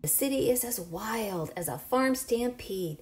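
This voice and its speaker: Slow, animated woman reading to children. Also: fairly high pitch, reverberant. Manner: animated, questioning, exaggerated teacherly delivery. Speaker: children's storybook reader. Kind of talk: read-aloud to young children. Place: small home room, indoors.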